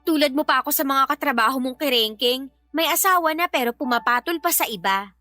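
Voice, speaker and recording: Woman acting a high-pitched, sassy Filipina girlfriend. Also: brisk, bright, forceful tone, faint music behind. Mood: indignant, scolding. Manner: sassy, scolding, dismissive. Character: sharp-tongued, irritable young live-in girlfriend and mother. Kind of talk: Filipino radio-style drama acting.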